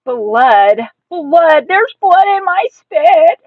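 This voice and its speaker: A woman voicing an excitable, stubborn young first-grade girl in a storybook, exclaiming and repeating herself dramatically. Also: measured pace, exaggerated voice. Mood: panicked, yelling. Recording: tinny, echoey, distant low-bandwidth recording.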